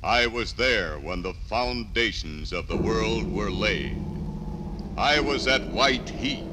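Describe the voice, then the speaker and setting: An American man playing ancient iron itself in a grave, declamatory 1950s radio drama monologue with dramatic pauses. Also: lowish voice, expressive, very noisy. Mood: grand, solemn. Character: iron itself, ancient, solemn, patient elemental narrator. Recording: 1950s radio transcription under loud music.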